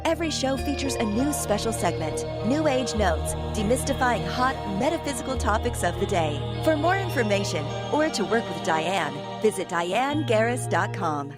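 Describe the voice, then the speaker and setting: A woman's voice: fairly high pitch, sing-song, moderate pace, few pauses. A woman reading a scripted radio promo as an announcer. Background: prominent music bed.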